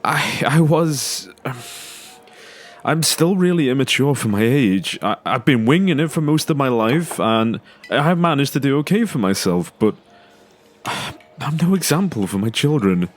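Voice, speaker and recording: A man's voice: medium pitch, animated, a little slow, close to an everyday voice. An actor playing an earnest, self-doubting father with a hesitant, stammering way of talking in an audio drama. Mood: reflective, self-doubting. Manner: hesitant, stammering, repeats words. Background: music.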